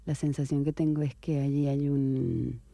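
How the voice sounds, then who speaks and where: A woman writer from Venezuela answering interview questions in a reflective, unhurried way, with soft hesitations and tag questions. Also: rumbling low, flat delivery, creaky, dry recording.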